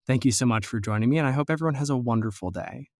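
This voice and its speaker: A man's voice: mid-range voice, lively intonation, rapid-fire, soft background music. A man hosting an interview podcast in a conversational, wordy and playfully wry way. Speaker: podcast host.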